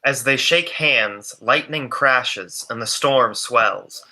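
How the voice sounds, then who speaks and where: Young male narrator for scripted adventure serial, intense announcer delivery, sharp bright voice, medium pitch. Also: slow pace, poor audio. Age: young adult. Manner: theatrical announcer. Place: small room indoors. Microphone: earbuds on a video call.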